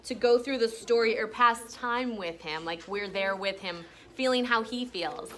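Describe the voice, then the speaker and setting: A woman with a bright, fairly high voice reads aloud as a schoolteacher. She is expressive and instructional, stopping to explain and check in with questions. There is faint noise behind her. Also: a little slow. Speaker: elementary school teacher. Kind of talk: classroom read-aloud with teaching commentary.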